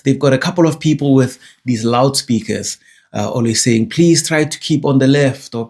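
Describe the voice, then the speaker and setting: Husky-voiced South African man, chatty. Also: medium pitch, a little mellow. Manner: digressive, self-correcting, chatty. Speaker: vlogger. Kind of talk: casual rambling monologue.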